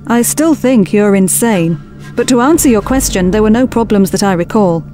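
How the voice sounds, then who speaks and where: A woman's voice: mid-range voice, expressive, normal speed, mellow, lightly acted. A woman playing a practical, skeptical starship officer. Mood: firm, skeptical. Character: skeptical, practical female spaceship expedition officer. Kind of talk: scripted science fiction audio drama acting. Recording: music bed under the dialogue.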